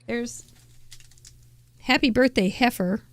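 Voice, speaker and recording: A woman's voice: on the high side, takes it slowly, dry recording. Chatty playful woman host, dry sound. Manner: chatty and playful, laughs often. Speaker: host of a live crafting show. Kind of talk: casual live-stream chat.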